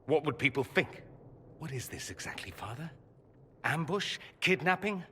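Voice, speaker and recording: A proud young nobleman mage from a video game, theatrical and sarcastic. His bright, forceful voice swings widely in pitch and rings in a long hall reverb, articulate and defiant. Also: lively intonation, normal speed, slightly rough, exaggerated voice. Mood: cold sarcasm. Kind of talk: dramatic video game voice acting.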